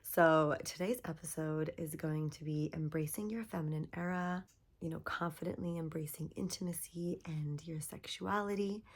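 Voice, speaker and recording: Hoarse-voiced woman hosting a chatty podcast. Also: deep voice, normal speed. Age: young adult. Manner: chatty, upbeat, frequent likes and laughs. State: hoarse, voice partly lost. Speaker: podcast host. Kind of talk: casual self-help podcast monologue. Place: her bedroom at night.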